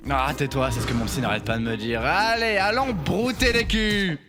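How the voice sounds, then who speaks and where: A man playing a brash, foul-mouthed, sarcastic demon boss in an animated comedy fan dub. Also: mid-range voice, fast talker, close to an everyday voice. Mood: brash, showy. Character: brash, vulgar male demon boss of assassins. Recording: music behind the voice.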